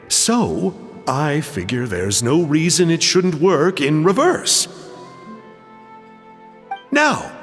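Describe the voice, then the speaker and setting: A man's voice: fairly high pitch, fairly bright tone, full character voice. A man voicing a pompous, theatrical schemer who feigns friendliness, with a flamboyant drawl, in a video game. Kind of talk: video game villain-like acting. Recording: long echo, music behind.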